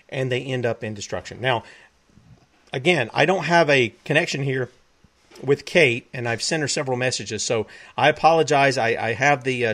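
A man's voice: mid-range voice, fairly bright tone. A man hosting a web broadcast, preaching emphatically, repeating himself and quoting scripture. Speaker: talk show host.

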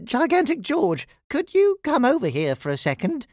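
A man voicing an excitable, urgent male pirate crewmate in a children's story. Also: very high voice, moderate pace, dry recording, clean studio sound, pitched above the performer's own voice, exaggerated voice. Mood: eager, polite. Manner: exclamatory, urgent.

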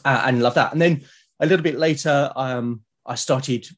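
British man in his forties, mid-pitched voice. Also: normal speed. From United Kingdom. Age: 40s. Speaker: podcast guest, illustrator. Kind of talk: casual interview chat.

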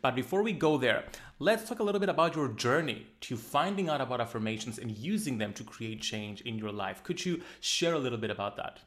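A man's voice: mid-range voice, expressive, brisk, fairly bright tone. A man with a Greek accent hosting a podcast interview, reading an introduction and asking questions in an upbeat way. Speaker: podcast host.

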